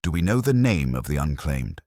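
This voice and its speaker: A man's voice: a bass voice, very animated, normal speed, a little mellow, no room sound, heavily acted. A man voicing a courteous, thoughtful police inspector with dry wit in a mystery story. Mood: dry, probing.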